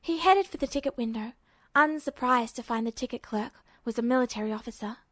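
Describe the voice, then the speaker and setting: A woman's voice: fairly high pitch, fairly quick, a bit bright, no echo, studio quality. A woman reading scripted fiction aloud as a story narrator.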